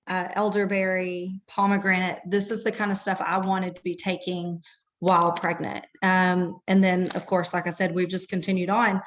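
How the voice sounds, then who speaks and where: Slow-talking hoarse woman. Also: medium pitch. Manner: unhurried, with frequent um fillers. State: hoarse, losing her voice. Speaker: product distributor. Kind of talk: personal testimonial. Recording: dull lo-fi recording.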